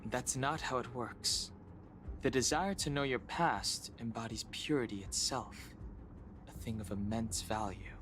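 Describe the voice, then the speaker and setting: A man playing a studious young man who explains things in a calm, dry, matter-of-fact way in a video game. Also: medium pitch, unhurried, a little hiss or hum, lightly acted. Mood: analytical. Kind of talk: anime-style video game voice acting.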